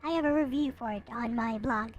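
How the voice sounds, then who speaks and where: A woman streaming, chatting casually and reading a visual novel aloud in an expressive manner. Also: on the high side, fairly quick, lo-fi sound. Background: music.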